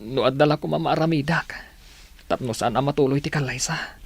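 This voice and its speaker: An actor with a Filipino accent playing an earnest, pleading young village suitor in a radio drama. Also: male voice, on the high side, fairly quick. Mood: pleading, wounded. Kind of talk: Ilocano radio drama acting.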